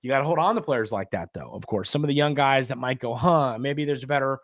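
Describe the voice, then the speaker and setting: A man of medium pitch hosts a sports show in a conversational style. He leans hard on his points and repeats phrases to stress them. The sound is dry, with very little room to it. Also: fast, dry recording. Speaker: sports podcast host.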